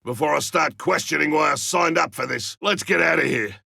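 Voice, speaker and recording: Gruff monster hunter, game callouts: husky, piercingly tense, dry studio sound, wry loner. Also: male voice, fairly high pitch, a little fast, sharp tone, no echo, full character voice. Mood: grim, weary. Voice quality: gravelly, raspy. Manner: blunt, wry, barking. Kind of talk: video game combat callouts.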